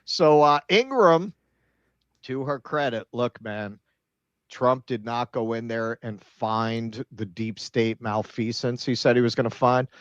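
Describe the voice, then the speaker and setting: A man co-hosting a political show, giving casual, sardonic commentary with halting, repeated starts. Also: mid-range voice, no echo, highs cut off. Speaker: political talk show co-host.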